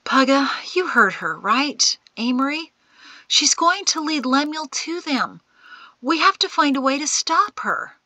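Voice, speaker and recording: An embittered young male prisoner in an audiobook, acted out by a woman. The voice sits high and pushes forward with a sharp edge. He is agitated, quick to anger and given to sarcasm. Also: expressive, no room sound. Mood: urgent, sardonic. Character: bitter, hot-tempered young man held prisoner in chains. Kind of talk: audiobook character acting.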